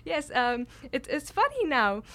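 A woman's voice: high voice, very animated, measured pace, fairly bright tone. A young woman, earnestly telling a personal story with frequent restarts. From Kashmir, India. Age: late teens. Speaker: exchange student.

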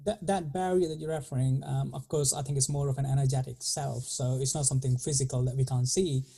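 A man hosting a podcast, talking softly and hesitantly with some fillers. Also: mid-range voice, steady tone, fast, vocal fry, husky. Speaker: podcast host. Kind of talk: casual conversation.

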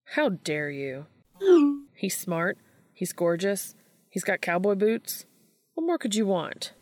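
A woman's voice: on the low side, big pitch swings, takes it slowly, dry recording. A woman voicing a wry, affectionate man in an audiobook, with a casual, teasing manner. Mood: mock indignant. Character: wry, affectionate man texting family and an old friend.

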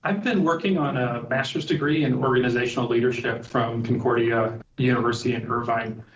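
A man's voice: medium pitch, steady tone, a little fast, a little hiss or hum. A middle-aged man from Liberia, a podcast guest, answering thoughtfully and linking his ideas with "and so". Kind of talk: interview answers. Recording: distant, roomy, dull remote call audio.

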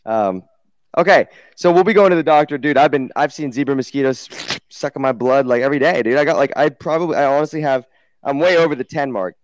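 Quick-talking guy in a casual livestream. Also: medium pitch, lo-fi sound, slight background noise. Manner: slangy, profane, exclaiming "dude". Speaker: show host. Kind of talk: casual livestream group chat.

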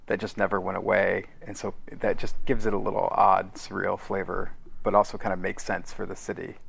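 A man's voice: low-pitched, little pitch movement, fairly quick, gravelly, fairly bright tone, breathy edge, low-quality recording. A man chatting casually as an interview guest, informal and exclamatory, acting out imagined reactions as he goes. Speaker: podcast guest, audio drama writer. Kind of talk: casual chat.

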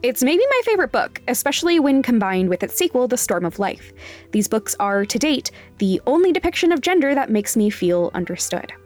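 A woman's voice: fairly high pitch, sing-song, fairly quick, darkish tone. A woman hosting a podcast, reading scripted announcements aloud. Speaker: podcast creator and host.

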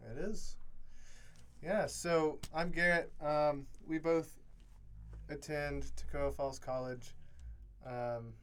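A man in his twenties co-hosting a casual podcast from a college dorm room, easygoing and quick to laugh, with many fillers. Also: a little high, steady tone, clean tone. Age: 20s. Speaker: podcast co-host. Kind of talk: casual chat.

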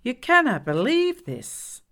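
A woman's voice: on the high side, wildly swooping pitch, slow pace, a bit bright, voice pushed up, heavily acted. A woman voicing a brash, bossy, easily scandalized female neighbour in an audiobook, with an emphatic, pushy delivery. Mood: indignant, scandalized.

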